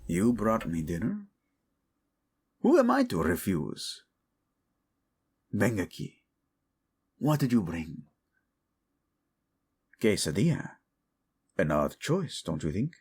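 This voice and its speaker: A man's voice: fairly low pitch, huge pitch swings, takes it slowly, long pauses, a little mellow, breathy edge, full character voice. A man acting as a teasing, devoted king in an intimate romantic audio roleplay, playful and tender with his wife. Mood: affectionate, teasing.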